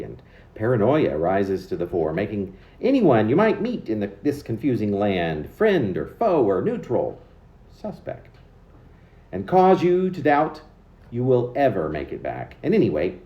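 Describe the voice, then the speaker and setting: A man reading his own poem aloud in an expressive, deliberate way with emphatic phrasing. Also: fairly low pitch, echoey room, highs cut off. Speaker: poet reading own work. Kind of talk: poetry reading.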